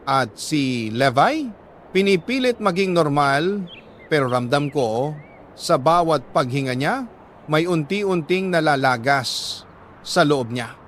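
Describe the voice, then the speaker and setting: A man reading a dramatic scripted story in a solemn, measured way, pausing for emphasis. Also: a little high, expressive, fairly bright tone, a little hiss or hum. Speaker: narrator reading a listener's letter.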